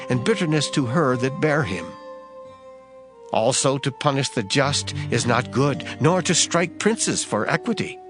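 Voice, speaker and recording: A man narrating scripture in a dramatized King James style, with solemn, measured, emphatic delivery. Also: medium pitch. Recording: music under the voice. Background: background music.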